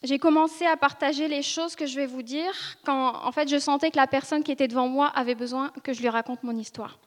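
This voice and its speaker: A woman in her thirties, from northern France, speaks with a high voice. She shares her testimony with a church audience, earnest and hesitant, pausing and restarting often. Her voice carries a long reverb from the hall. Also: steady tone. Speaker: church member giving testimony. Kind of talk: personal testimony to a congregation. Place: church ministry school meeting room. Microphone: seated speaker, filmed for video.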